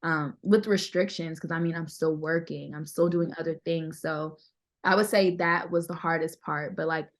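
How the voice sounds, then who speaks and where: A woman with a deeper voice, chatting casually and candidly as an interview guest, often repeating herself for emphasis. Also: steady tone, moderate pace. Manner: candid, conversational, emphatic repetition. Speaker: interview guest, singer-songwriter. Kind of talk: casual chat.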